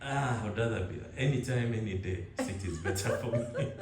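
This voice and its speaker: A man's voice: on the low side, moderate pace, roomy sound, music in the background. A young Kenyan man with a Kenyan accent, chatting easily and answering questions, restarting his words often. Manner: relaxed, rambling, frequent restarts and laughs. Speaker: interviewee. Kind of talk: casual conversation.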